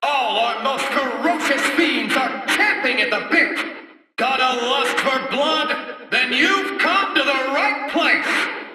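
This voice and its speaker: An actor playing a bombastic, bloodthirsty monster-arena announcer in a video game, shouting showily in a big echoing space. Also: male voice, extremely high pitch, faint music behind, exaggerated voice. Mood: bombastic, gleeful. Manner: shouting, slow and showy.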